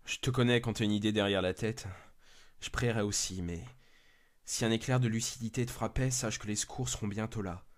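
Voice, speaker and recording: A man's voice: fairly low pitch, fairly even intonation, a little fast, pitched below the performer's own voice, lightly acted. A man playing a sober, concerned radio contact of a searcher in a horror audio drama. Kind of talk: dramatised horror story acting.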